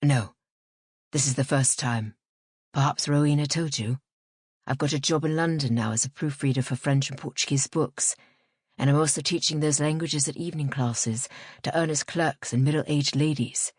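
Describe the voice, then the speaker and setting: A woman's voice: sits low, normal speed, darkish tone, husky, no echo, clean studio sound, voice pushed down. A woman voicing a charming, educated man in an audiobook, speaking in a wry, teasing, languid way. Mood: wry, flirtatious. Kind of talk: audiobook character voice.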